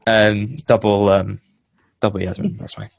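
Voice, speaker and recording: A young man from the English Midlands with a Midlands accent, hosting a casual podcast chat, halting and full of fillers and restarts. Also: lowish voice, little pitch movement, gravelly, no echo. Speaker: podcast host. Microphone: headphones and home mic.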